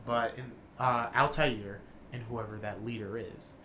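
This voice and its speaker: A man's voice: medium pitch, fairly even intonation, unhurried, a bit bright, slight background noise. A man co-hosting a casual chat, talking in long rambling stretches with restarts. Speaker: podcast co-host. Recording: roomy with faint ambience.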